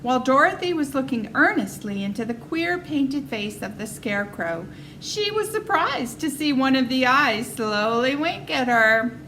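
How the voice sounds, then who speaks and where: Bright, high-voiced woman reading a story. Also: expressive, moderate pace, hardly any pauses, a little hiss or hum, low-quality recording. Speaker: story reader. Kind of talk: expressive children's story narration.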